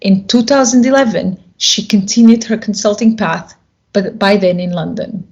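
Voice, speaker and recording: A woman hosting a podcast, reading a scripted intro in a measured, earnest way, then asking interview questions. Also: medium pitch, vocal fry, darkish tone. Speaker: podcast host. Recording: dull lo-fi sound with faint music.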